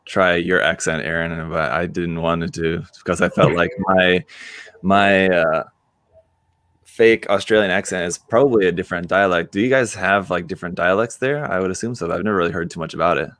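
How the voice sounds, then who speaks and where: Very deep-voiced man, dry close sound. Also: brisk, a bit bright, no echo. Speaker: livestream host. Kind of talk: casual panel chat.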